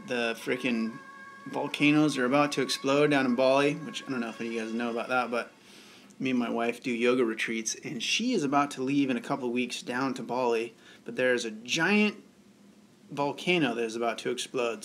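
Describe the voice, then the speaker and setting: A man's voice: mid-range voice, brisk, fairly bright tone, soft background music. A young man hosting a live bartending stream, chatting casually and laid-back with viewers. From United States. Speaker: bartending channel host.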